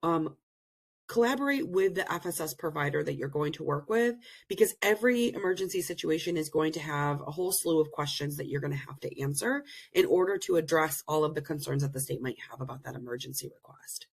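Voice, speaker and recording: A woman presenting in an online learning session over a video call, slightly hoarse from being sick, explaining things earnestly with many pauses. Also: deep voice. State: hoarse, recovering from illness. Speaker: presenter and program director. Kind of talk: webinar presentation.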